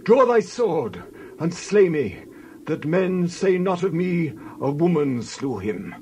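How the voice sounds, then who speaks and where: A man acts out a proud warlord king in a Bible drama. The voice is high and swings widely, with slow, declamatory weight. There is some noise behind it. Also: sing-song, mellow. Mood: desperate, proud. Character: male warlord king, commanding, proud, desperate when dying.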